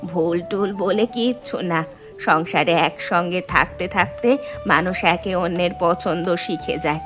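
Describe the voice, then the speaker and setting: A woman's voice: fairly low pitch, over music, natural voice. A woman voicing an elderly Bengali mother-in-law in a cartoon, firm and traditional, fondly chiding and instructing. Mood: gently advising. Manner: unhurried, instructive, gently chiding. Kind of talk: animated moral story voice acting.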